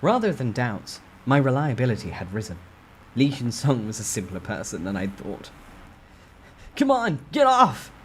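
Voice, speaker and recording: A man's voice: on the low side, sing-song, moderate pace, darkish tone, slight background noise. A man reading a novel aloud as a dramatic first-person narrator.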